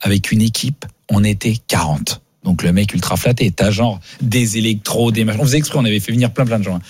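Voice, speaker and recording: A man hosting a radio show, speaking in casual slang with bantering, animated storytelling. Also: fairly low pitch, brisk, husky, no echo. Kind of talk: casual bantering talk and comic anecdotes.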